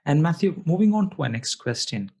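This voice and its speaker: A man's voice: a little high, sing-song, a little fast, mellow, slightly rough. A man hosting an interview, polite and formal, often repeating words of thanks and praise before each question. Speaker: interview host. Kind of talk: podcast interview.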